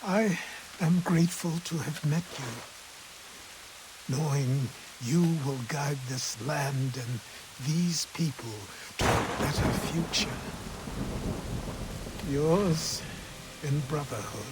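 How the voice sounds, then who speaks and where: An actor playing a weary old mentor, solemn and grateful, speaking in a measured way as in a dramatic video game. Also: male voice, fairly high pitch. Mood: solemn, tender. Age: older. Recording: rain and thunder noise behind.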